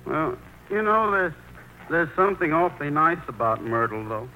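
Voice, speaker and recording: A man's voice: fairly high pitch, big pitch swings, normal speed, highs cut off. An actor playing a timid, hesitant grown son tied to his mother, in 1940s radio acting style. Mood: anxious, whiny. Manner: hesitant, stammering, bashful. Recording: noisy old radio broadcast recording.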